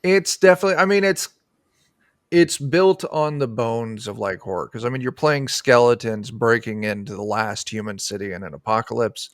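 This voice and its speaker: A man's voice: medium pitch, vocal fry, no room sound, studio quality. A man explaining things informally as a guest in a casual interview chat. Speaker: game designer guest.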